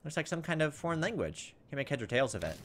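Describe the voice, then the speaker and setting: A man's voice: on the high side, sing-song, fast. Man musing through gameplay, noisy recording. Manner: thinking aloud, hesitant musing. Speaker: let's play host. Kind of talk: casual gameplay commentary.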